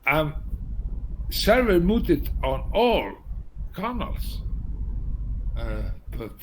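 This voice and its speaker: An older man from Iceland, speaking halting broken English full of fillers and restarts on an open debate panel. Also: on the high side, sing-song. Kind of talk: casual voice chat. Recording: echoey room with background noise.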